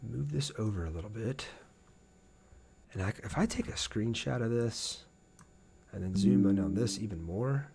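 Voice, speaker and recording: A man's voice: fairly low pitch, big pitch swings, moderate pace, gravelly, long pauses, darkish tone. A man giving casual live gameplay commentary as a game streamer, talking to his viewers as he plays.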